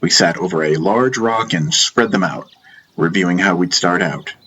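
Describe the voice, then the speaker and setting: A man's voice: low-pitched, moderate pace, sharp tone, slightly rough. A man reading a first-person fantasy story as scripted audiobook narration. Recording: music bed behind narration. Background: background music.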